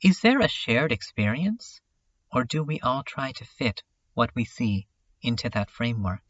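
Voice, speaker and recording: A brooding, self-doubting dreamer, acted by a man speaks in a mid-pitched voice. The delivery is unhurried and halting, like a poetic confession in a fan-dubbed webcomic drama. The recording is dull and lo-fi. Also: sing-song, fairly bright tone. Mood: pensive, wondering. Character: brooding, self-critical dreamer musing on art and self. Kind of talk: slow introspective dramatic monologue in a webcomic fan dub.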